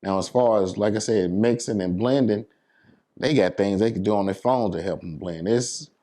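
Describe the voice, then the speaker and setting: Deep-voiced American man talking casually. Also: normal speed, dark tone. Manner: explanatory, repeats "you know what I'm saying". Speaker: DJ and entertainer being interviewed. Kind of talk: casual interview talk.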